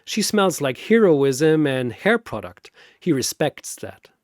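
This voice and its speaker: A man narrating a scripted battle story in a theatrical, deliberate way, like a dramatic commentator. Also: on the high side, big pitch swings, darkish tone, no room sound, clean studio sound.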